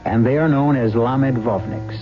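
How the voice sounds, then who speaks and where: Wry male radio storyteller, muffled, over music. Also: mid-range voice. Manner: deliberate, theatrical, wry. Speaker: radio drama host and narrator. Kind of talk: scripted storytelling narration, vintage radio. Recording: muffled old radio recording.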